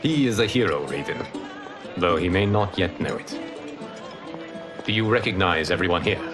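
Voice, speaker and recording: A man playing a calm, wise, solemn mentor in a video game, explaining things in measured, formal speech. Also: sits low, music in the background. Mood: calm, assured. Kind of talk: dramatic video game acting.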